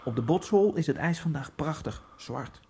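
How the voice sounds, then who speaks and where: A brooding Egyptian immigrant. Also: male voice, animated, moderate pace, gravelly, darkish tone, husky, full character voice. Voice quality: wry inner monologue that swings into giddy high outbursts. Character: lonely male Egyptian immigrant, brooding, self-mocking. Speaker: narrator and main character. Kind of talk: radio drama monologue.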